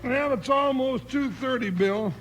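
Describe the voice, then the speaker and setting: An actor playing a comic, easily bewildered cowboy deputy in a 1950s radio western, with an American folksy drawl and a gravelly voice. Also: male voice, extremely high pitch, a little slow, fairly bright tone. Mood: amused, folksy. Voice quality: creaky, gravelly. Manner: folksy drawl with cowboy slang and bad grammar. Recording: noisy old 1950s radio recording.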